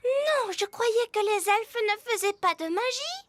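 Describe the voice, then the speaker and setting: A woman playing a cheerful, eager little fairy princess girl in a French cartoon dub, with a childlike, expressive delivery. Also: extremely high pitch, measured pace, slight background noise, heavily acted. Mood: playful. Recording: music and jingles behind the voice.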